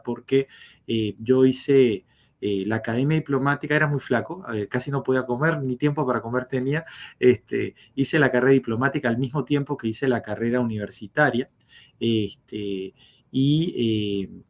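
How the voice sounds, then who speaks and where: A man tells his life story as a podcast guest, pausing often on fillers and restarting his sentences. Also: medium pitch. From Uruguay. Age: 50s. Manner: hesitant, many fillers and restarts.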